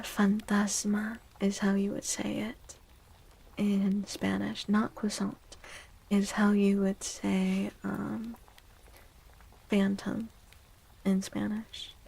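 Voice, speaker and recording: Soft spoken asmr streamer lady, mellow n creaky, kinda teasing, drifts into whispers. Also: medium pitch, rough recording. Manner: soft, hushed, often slipping into whispers; playful, teasing. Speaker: ASMR streamer and tarot reader. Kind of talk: soft-spoken ASMR, casual chat with livestream viewers.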